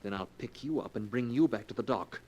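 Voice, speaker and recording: An actor playing a scheming young man coaxing his cousin toward murder, in a 1940s radio drama, speaking in a smooth, intimate way. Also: medium pitch, a little fast, a little mellow, close to an everyday voice. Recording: old radio recording with hiss.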